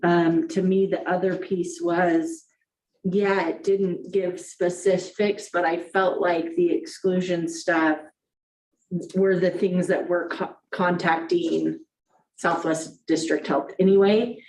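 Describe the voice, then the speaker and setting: A woman's voice: fairly low pitch, flat delivery, slow, a little mellow. A woman on a school board thinking aloud with many fillers, giving her view at a meeting. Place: large meeting room. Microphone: desk microphone from afar. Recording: echoey room, distant mic, faint hiss.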